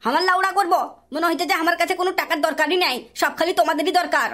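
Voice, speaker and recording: A performer voicing a whiny, foul-mouthed young son in a comedy cartoon, with an artificially pitched-up voice. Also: rapid-fire, pushed and bright. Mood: indignant. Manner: whiny, quarrelsome, swearing. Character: aggrieved, foul-mouthed young son pestering parents for money. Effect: artificially pitched-up cartoon voice filter.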